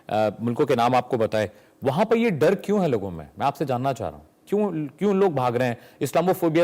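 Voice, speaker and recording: A man's voice: medium pitch, a little mellow. Probing male news debate host.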